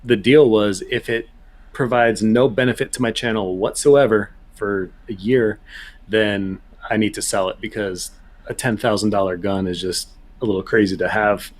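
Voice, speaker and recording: A man's voice: fairly low pitch, fairly even intonation, normal speed. A man with an American accent chatting casually as a guest on a podcast. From United States. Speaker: podcast guest, gun YouTuber. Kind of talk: casual chat.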